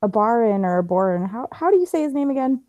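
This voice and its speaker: Mellow, dry-sounding woman chatting. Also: on the high side, lively intonation, fast talker, dry recording. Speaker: book club member. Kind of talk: casual book discussion. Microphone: group video call.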